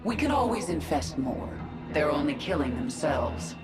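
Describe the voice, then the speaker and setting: A woman's voice: low-pitched, very animated, normal speed. A woman playing a commanding, vengeful alien queen in a video game, giving terse, imperious orders. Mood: cold, commanding. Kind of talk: video game character dialogue. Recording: echoing effect, loud game music behind.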